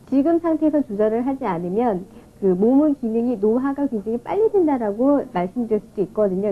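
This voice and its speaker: A woman in her thirties, a doctor explaining formally in an interview. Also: a little high. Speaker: oriental medicine doctor. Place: office with window. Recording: phone-like muffled tape, faint noise.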